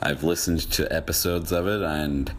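A man's voice: extremely low pitch, steady tone, moderate pace. A man in his thirties, a podcast guest chatting casually and reminiscing.

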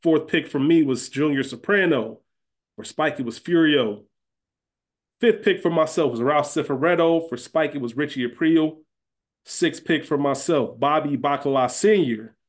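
A man's voice: on the high side, normal speed. A man chatting casually and emphatically as a podcast co-host, conversational in his delivery. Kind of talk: casual chat and recap.

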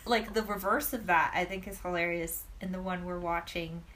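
A woman's voice: lowish voice, normal speed. Giggly woman chatting casually. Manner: giggly, animated storytelling with frequent laughs. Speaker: podcast co-host. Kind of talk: casual chat.

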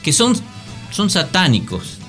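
A man's voice: sits high, huge pitch swings, unhurried, fairly bright tone. Argentine man chatting over music. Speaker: radio panelist. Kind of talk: casual, musing round-table chat. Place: radio studio. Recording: music bed behind the voice.

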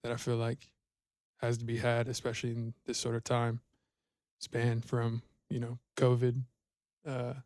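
Young dude talking real flat and monotone, lots of ums, dry mic. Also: male voice, medium pitch, dry recording. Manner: halting, lots of fillers, flat and earnest. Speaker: podcast co-host. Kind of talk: casual chat.

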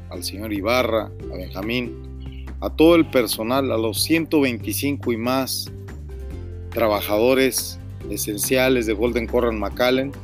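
A middle-aged man with a Mexican accent, hosting live call-in radio and talking in an earnest, rambling way that repeats itself. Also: mid-range voice. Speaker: radio host.

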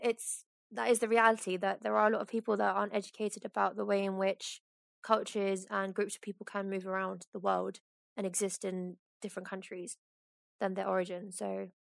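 A woman from Kenya co-hosting a podcast, chatting in an animated, storytelling way with lots of fillers. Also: medium pitch, gravelly, no echo, studio quality. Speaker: podcast co-host. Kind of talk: casual conversation.

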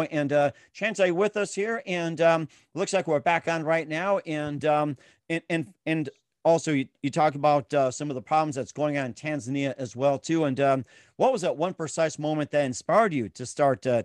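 A man's voice: a little high, moderate pace, a little mellow, no echo. A man hosting a podcast interview, reading a sponsor ad and then asking questions with many fillers. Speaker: podcast host. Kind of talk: interview with ad read.

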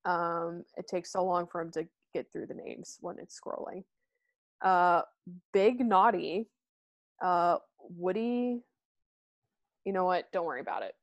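A woman's voice: on the low side, a little slow, long pauses, dry recording. A woman co-hosting a podcast, chatting casually and rambling with fillers and laughs. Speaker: podcast co-host. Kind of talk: casual chat.

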